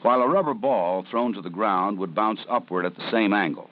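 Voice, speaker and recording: Low-voiced man narrating an old training film. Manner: measured, instructive, serious. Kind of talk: scripted documentary narration. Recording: dull 1970s film soundtrack with faint hiss.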